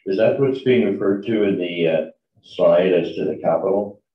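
A man's voice: deep voice, moderate pace. A man speaking as a councillor, putting careful, tentative questions at a formal council meeting. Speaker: town councillor. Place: large meeting room, indoors. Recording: distant, dull, echoey meeting room audio.

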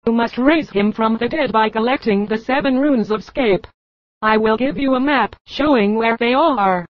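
A woman voicing an all-knowing, smug male seer in a homemade cartoon, with drawn-out mystical pauses. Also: a little high, highs cut off, slight background noise, lightly acted.

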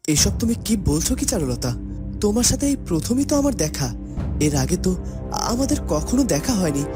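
A man's voice: high voice, big pitch swings, moderate pace. A man voicing an earnest, wondering young prince in a cartoon fairytale, with a questioning manner. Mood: astonished. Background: music.

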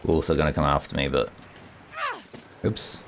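A man with a raspy voice, still getting over a cold, calmly commenting as he plays a survival game and thinks aloud. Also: a bass voice, moderate pace, noisy background. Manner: relaxed, thinking aloud while exploring. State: recovering from a cold. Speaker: gameplay commentator. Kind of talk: calm let's play commentary.

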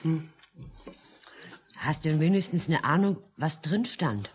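A woman's voice: sits low, lively intonation, measured pace. A woman playing a proper, no-nonsense mother who chides and corrects, in a 1950s German radio drama. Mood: probing. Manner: chiding, proper. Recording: noisy 1950s mono radio recording.

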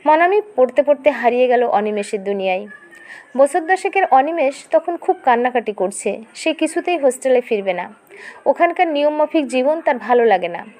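A woman reading a scripted dramatic story as narrator, in an expressive, emotive storytelling manner. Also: high voice, moderate pace.

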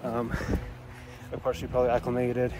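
A young man from Texas talks into a phone held at arm's length on a high mountain trail. He sounds worn out from the altitude and keeps an easygoing pace, full of little pauses and fillers. His voice sits at an ordinary middle pitch, with music playing clearly underneath. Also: completely flat delivery. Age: young adult. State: tired from altitude. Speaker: travel vlogger. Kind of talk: casual hiking vlog with voice-over narration. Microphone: phone camera held at arm's length.

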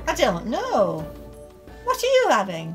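A woman's voice: on the high side, wildly swooping pitch, unhurried, faint music behind, full character voice. A woman playing a chatty, practical Northern English working-class wife in a radio play. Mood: mild, practical.

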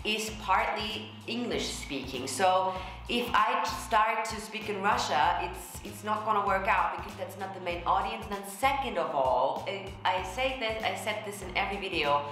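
A young woman vocal coach, a native German speaker, explaining herself in casual commentary to the camera with lively gestures. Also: medium pitch, normal speed, soft background music. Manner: animated, gestures a lot, explanatory. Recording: very echoey room.

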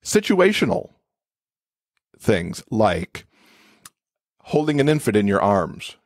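A man's voice: fairly low pitch, very animated, slow pace, no echo, studio quality. A man speaking as an expert podcast guest, explaining things in a conversational interview style.

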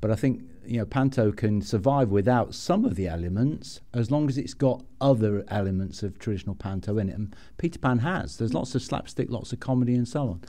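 A man's voice: fairly low pitch, expressive, brisk, few pauses, dark tone. A man hosting a podcast, asking questions and chatting casually in an interview.

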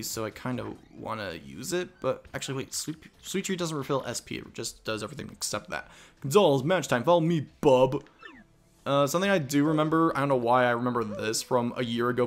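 A man giving casual, rambling commentary while playing a game. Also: medium pitch. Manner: mumbly and rambling. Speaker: let's play commentator.

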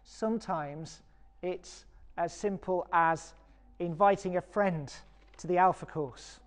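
A British woman vicar giving a recorded sermon in a measured, earnest and encouraging way. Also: fairly low pitch. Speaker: parish vicar preaching.

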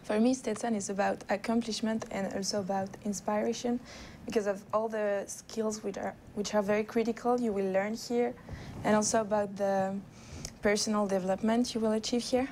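Mellow-voiced young woman. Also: medium pitch, steady tone, moderate pace, a little hiss or hum. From France. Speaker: international law student.

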